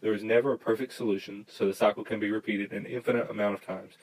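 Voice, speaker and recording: A man's voice: on the low side, flat delivery, quick pace, rough recording. A young male student narrating a scripted explanation of the design process. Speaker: student presenter.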